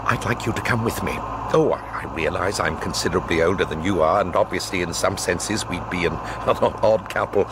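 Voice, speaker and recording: An actor playing an earnest, flustered middle-aged British amateur sleuth in a radio drama, impulsive and given to stammering. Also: male voice, deep voice, brisk, background noise, lightly acted. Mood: hesitant, awkward.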